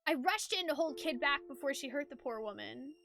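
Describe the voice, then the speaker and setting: Female game streamer and narrator; bright, swooping delivery, playful and expressive. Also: high-pitched, fairly quick, creaky. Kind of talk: live stream chat mixed with expressive story narration.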